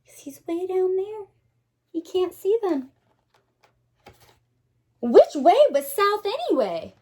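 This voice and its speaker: A woman teacher reading a picture book aloud to young children, expressive and dramatic, pausing to put questions to her listeners. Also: extremely high pitch. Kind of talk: animated children's read-aloud.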